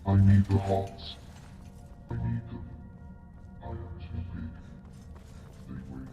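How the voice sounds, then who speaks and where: A man voicing a mournful, obsessive monstrous being in a video game, with a deep, gravelly voice and slow, halting, portentous speech. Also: expressive, recorded from a distance. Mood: desperate. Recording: muffled phone-like band, long cavernous echo, music.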